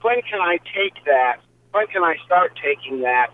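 A man nearly seventy calling in to a radio show, asking a halting question with restarts. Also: high voice, animated, a little hiss or hum. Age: 60s. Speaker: caller. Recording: phone-line caller audio.

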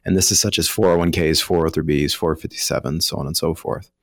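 Male financial expert, American, low register, dry studio sound, talks listeners through rules conversationally. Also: fairly even intonation, fast talker, no echo. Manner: explanatory, informal, voices hypothetical first-person examples. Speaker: retirement planning professional, guest expert. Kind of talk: conversational explaining of financial rules on a talk show.

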